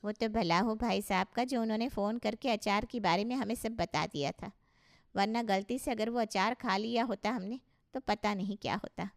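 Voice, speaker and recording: A woman's voice: medium pitch, moderate pace, natural voice. A woman playing a nosy, blunt older mother-in-law in a cartoon story, inquisitive and bossy. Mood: dismissive. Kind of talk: Hindi cartoon story dialogue.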